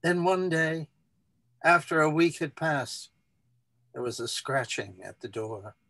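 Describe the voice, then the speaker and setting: Very slow man reading a story. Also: fairly high pitch, expressive, vocal fry, pushed and bright, no echo. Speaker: reader. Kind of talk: dramatic literary story reading.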